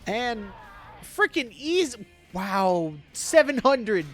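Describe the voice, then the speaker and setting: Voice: medium pitch, very animated, takes it slowly, fairly bright tone. A video game streamer giving casual, slangy, offhand live commentary on the game being played. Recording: game music behind the voice. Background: game music.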